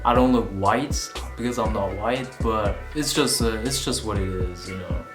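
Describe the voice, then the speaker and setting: A man's voice: fairly low pitch, normal speed. A man in his twenties, born in Vietnam, rambling casually to a camera about music, self-conscious and swearing now and then. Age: 20s. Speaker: vlogger and musician. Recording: roomy sound with music underneath.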